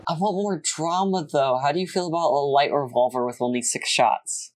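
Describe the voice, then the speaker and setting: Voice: medium pitch, moderate pace. A person running a tabletop game, easygoing and reassuring with the players. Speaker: game master.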